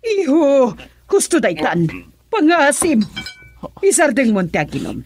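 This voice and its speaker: A protective Ilocano mother in a radio drama, fretting and scolding. Her voice is fairly high and very expressive, rising and falling widely. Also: measured pace, no echo, full character voice. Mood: exasperated, pleading. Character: fretful, scolding, protective mother of a grown son.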